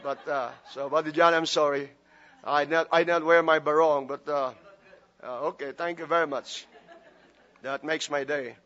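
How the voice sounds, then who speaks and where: A man's voice: a little high, fairly even intonation, normal speed, bright, forceful tone, muffled recording. A man from the Philippines with a Filipino accent, preaching a sermon and praying as a guest pastor, chatty with joking asides and many fillers. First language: Filipino language. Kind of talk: sermon with prayer and humor.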